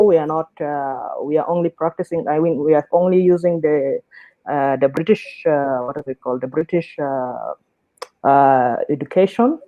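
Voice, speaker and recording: Female panel guest from South Sudan, halting explanatory delivery, dry tinny call line. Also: sits low, no echo. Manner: halting, searches for words. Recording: thin, tinny phone-like call audio.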